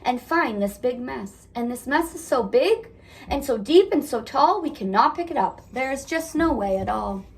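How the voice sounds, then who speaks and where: A woman's voice: high-pitched, animated, normal speed. Woman reading as a worried, urgent little storybook fish. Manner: urgent, alarmed questions and warnings. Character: worried, scolding male pet fish. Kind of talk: children's storybook read-aloud.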